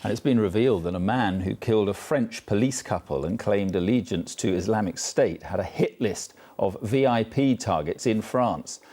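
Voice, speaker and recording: British male anchor, lowish warm voice, formal newsreading. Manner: measured, formal delivery. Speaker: news anchor. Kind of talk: scripted news reading.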